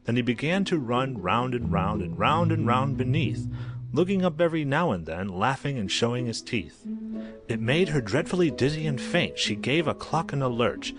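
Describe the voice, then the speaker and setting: A man reads a children's story in rhyme with a rhythmic, sing-song delivery. His pitch is mid-range and rises and falls expressively. Prominent music plays under the narration. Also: sing-song, normal speed.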